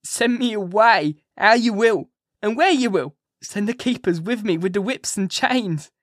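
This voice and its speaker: A reader voicing a frantic male asylum inmate who begs desperately, in drawn-out, imploring cries. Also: mid-range voice, fairly bright tone, no echo, clean studio sound, voice pushed up.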